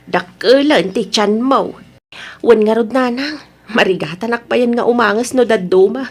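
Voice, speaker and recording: A woman's voice: a little high, creaky, husky, exaggerated voice. A woman with an Ilocano accent voicing an older mother in a radio drama, anxious and tearful. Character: older mother, James's worried nanang.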